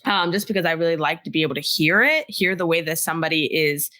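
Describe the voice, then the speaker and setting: A woman's voice: low-pitched, animated, fast, bright, forceful tone, no room sound. A woman co-hosting a casual podcast, talking in a candid, chatty way. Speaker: podcast co-host.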